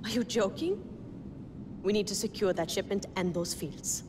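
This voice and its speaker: An actress playing a firm, pragmatic rebel leader in a dramatic video game. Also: female voice, medium pitch, normal speed, natural voice. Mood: argumentative. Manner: firm, insistent. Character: determined, pragmatic female rebel leader arguing hard. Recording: clear noisy game ambience behind.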